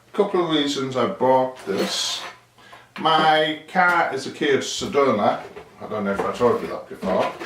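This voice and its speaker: A man giving a casual hands-on demonstration, thinking aloud in a rambling, self-deprecating way. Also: medium pitch. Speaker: amateur DIY video maker. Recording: echoey, distant mic with hiss.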